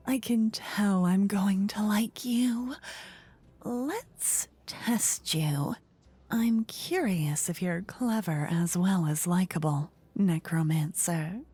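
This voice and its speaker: A woman playing a playful, regal fantasy queen in a soft-spoken, breathy ASMR roleplay, languid and coy, often slipping into whispers close to the microphone. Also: mid-range voice, full character voice. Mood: charmed, playful. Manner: languid, coy, flirtatious, courtly. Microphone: close ear-to-ear microphone.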